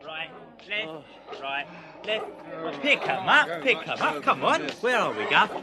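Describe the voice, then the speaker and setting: Nervy, high-pitched blinded man, radio drama. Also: slow, crowd noise, heavily acted. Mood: urging. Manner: colloquial, excitable, chivvying. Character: anxious blinded man, chained follower, chivvying and nervy. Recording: noisy old radio drama recording.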